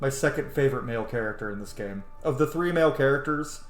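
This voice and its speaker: A man's voice: mid-range voice, lively intonation, quick pace. A man in his thirties giving casual, enthusiastic commentary on a video game. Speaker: streamer reacting to a game cutscene. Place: indoor room at home. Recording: roomy echo, game music behind. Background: game music.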